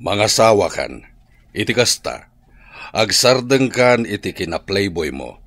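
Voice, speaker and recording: A man's voice: on the low side, sing-song, a little slow, fairly bright tone, no echo, full character voice. A man voicing a stern older father who nags his grown son, in an Ilocano radio drama with an Ilocano Filipino accent. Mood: stern, nagging.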